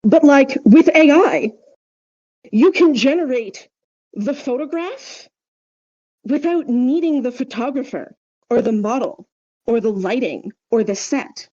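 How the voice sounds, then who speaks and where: Higher-voiced woman, mellow, explaining emphatically. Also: lively intonation, takes it slowly, dull audio. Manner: emphatic, explanatory, often checks with "right?". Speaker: podcast co-host. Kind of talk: casual podcast discussion.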